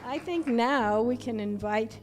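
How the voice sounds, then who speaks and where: A woman leading a church service, chatting with the congregation and welcoming newcomers in a halting, friendly way. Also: on the high side. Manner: chatty, halting with fillers, greets newcomers by name. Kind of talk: warm welcoming announcements to a congregation. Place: church hall.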